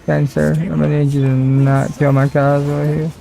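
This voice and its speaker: A man gives casual commentary as he streams a game. His voice is relaxed and creaky, and his talk is slangy, full of joking complaints. Faint game music plays behind him. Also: on the high side, normal speed. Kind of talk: casual live stream commentary over gameplay.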